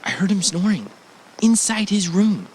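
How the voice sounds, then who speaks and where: A man's voice: sits high, big pitch swings, normal speed, a little hiss or hum, low-quality recording. Wary, reluctant boyfriend in an audio drama acted by a man. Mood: uneasy, baffled. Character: wary, reluctant male partner of an adventurous woman.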